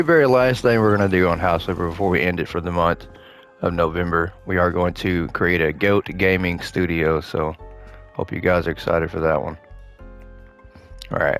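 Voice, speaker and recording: A man giving casual gameplay commentary, thinking aloud to himself as he plays, his voice slightly hoarse. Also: sits low. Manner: relaxed thinking aloud, muttering to himself while playing. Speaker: gaming host playing a renovation game.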